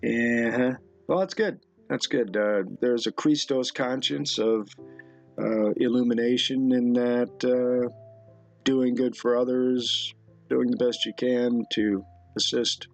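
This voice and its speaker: A middle-aged man rambles slowly through his thoughts, halting and musing as he comments on pictures. His voice has a gravelly creak, and faint music plays behind him. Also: medium pitch, steady tone. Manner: musing, halting, talks to himself. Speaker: video host. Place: indoor room at a computer.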